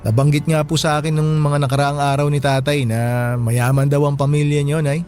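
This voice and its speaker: A man playing a polite, kindly Filipino working man in a radio drama, gentle and respectful as he speaks to an elder. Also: fairly high pitch, little pitch movement, a little fast, close to an everyday voice. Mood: gently curious. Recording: music behind the voice.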